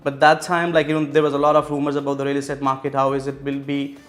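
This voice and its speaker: Man from Pakistan, thirties, interview guest, monotone, fillers and restarts. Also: medium pitch. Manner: halting, many fillers and restarts. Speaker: real estate executive guest. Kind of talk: interview answers.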